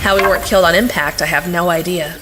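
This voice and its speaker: A woman's voice: on the low side, fairly even intonation, fast talker, heavy background noise, lightly acted. A woman playing a young heroine who recounts her own adventure in wry, reflective first-person narration. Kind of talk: dramatic first-person narration for audio drama.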